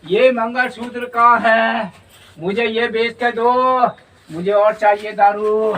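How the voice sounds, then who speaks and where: A man's voice: high voice, fairly even intonation, slow pace, fairly bright tone. A man playing a pushy drunken father. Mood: demanding. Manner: insistent, repeating the same demands over and over. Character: drunken abusive father demanding money for liquor. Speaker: actor in a home-made family drama. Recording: voice a bit distant from the mic.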